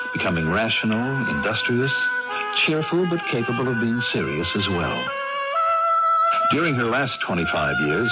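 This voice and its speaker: Earnest minister with swooping, expressive delivery. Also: male voice, on the low side. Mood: reflective. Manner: earnest, measured storytelling that turns urgent in dialogue. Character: devoted nephew, gentle 18th-century small-town minister narrating his aunt's story. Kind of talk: 1980s radio drama acting. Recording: dull old radio broadcast, loud music.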